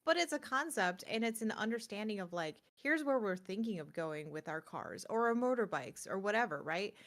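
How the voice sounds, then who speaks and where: Female co-host, mid-range voice, expressive and emphatic in loose, conversational talk. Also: medium pitch, normal speed. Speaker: podcast co-host. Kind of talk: casual chat.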